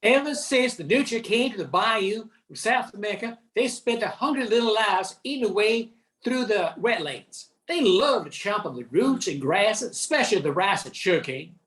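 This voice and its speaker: A roomy recording of an older woman with a slightly high voice. She reads scripted storytelling narration for a radio drama.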